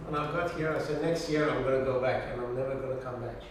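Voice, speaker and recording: Male, 50s, Iranian accent, native Persian speaker, reflective documentary interviewee; heavy room echo and clear background noise. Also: mid-range voice, fast. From Iran. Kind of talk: reflective conversational recollection. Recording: distant mic, very echoey room, clear noise.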